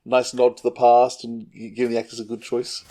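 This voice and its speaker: A man hosting a casual interview in a halting, conversational way. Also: medium pitch, completely flat delivery, mellow, low-quality recording. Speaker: interview host.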